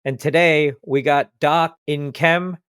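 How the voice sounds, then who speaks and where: A man's voice: a little high, steady tone, slow, a bit bright, smooth, no room sound, studio quality. A man hosting a podcast interview, talking in an enthusiastic, effusive way. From India. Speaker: podcast host.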